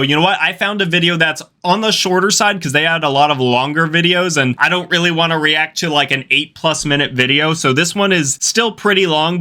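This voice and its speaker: A man's voice: on the high side, pushed and bright. A man giving casual, slangy reaction commentary as the host of a video. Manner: slangy, informal.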